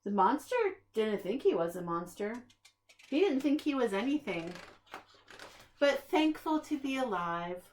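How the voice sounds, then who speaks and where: A woman reading a children's picture book aloud in an expressive, deliberate storytelling manner, as if to young listeners. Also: mid-range voice. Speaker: preschool staff reader.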